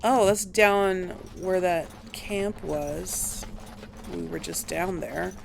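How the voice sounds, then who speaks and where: A woman's voice: fairly low pitch, steady tone, slow pace, vocal fry, slight background noise. A woman doing casual video game commentary, musing aloud and questioning herself as she plays. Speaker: let's play host.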